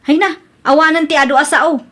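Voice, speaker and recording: Woman acting a high-voiced, boastful Ilocano wife. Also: steady tone, brisk, close to an everyday voice. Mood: stubborn, impatient. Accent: Ilocano Filipino. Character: boastful, stubborn, scheming wife, cheerful and bossy. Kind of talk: Ilocano comedy radio drama acting.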